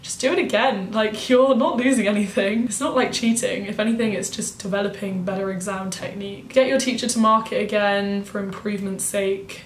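A young woman giving casual study advice to her camera from her bedroom, chatty and emphatic, her voice a little croaky. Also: medium pitch, echoey room, slight background noise. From United Kingdom. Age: young adult. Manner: chatty, emphatic, acts out quoted excuses. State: slightly croaky voice. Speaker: student vlogger. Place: bedroom at home, indoors.

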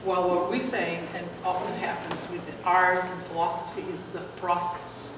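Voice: medium pitch, completely flat delivery, normal speed. A workshop participant explaining a point in a group discussion, thinking aloud. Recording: echoey room, distant, noisy.

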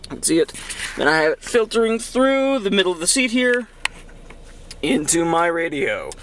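Bright, high-voiced young man. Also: big pitch swings, moderate pace. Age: 19, late teens. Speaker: hobbyist vlogger demonstrating his CB radio. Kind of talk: casual hands-on demonstration. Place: inside a car, outdoors parking area. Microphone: handheld camera's built-in mic. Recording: noisy camera audio with vehicle ambience.

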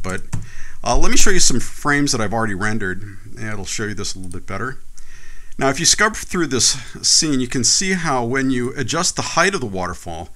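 A man hosting a casual software tutorial, talking through a screen recording in a relaxed, chatty way with many fillers. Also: lowish voice. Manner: relaxed, chatty, frequent "uh" and "you know".

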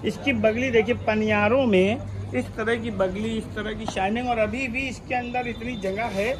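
High-voiced proud male goat seller. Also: fairly even intonation, moderate pace. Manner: proud, persuasive salesman. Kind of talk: casual sales chat.

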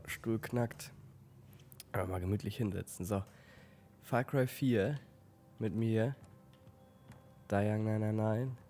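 A man with a slight cold giving relaxed let's play commentary, stumbling now and then while reading game text aloud. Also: fairly low pitch, slight background noise. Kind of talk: casual gameplay commentary.

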